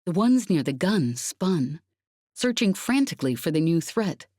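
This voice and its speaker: A woman's voice: fairly low pitch, big pitch swings, a little slow, darkish tone, dry recording, clean studio sound. A woman reading an audiobook, narrating fiction in a dramatic scripted style.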